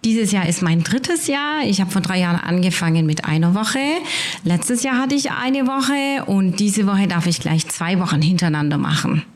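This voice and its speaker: A woman's voice: mid-range voice, animated, normal speed. A woman, the leader of a children's holiday program, introducing herself and speaking directly to the kids in an upbeat way. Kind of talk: interview self-introduction.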